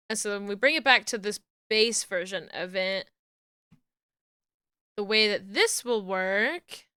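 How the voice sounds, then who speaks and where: A young woman with a New Jersey accent, chatting casually on a live stream while she works, murmuring to herself and then greeting viewers with loud squeals. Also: mid-range voice, clear, resonant tone, dry recording, clean studio sound. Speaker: VTuber streamer rigging a model.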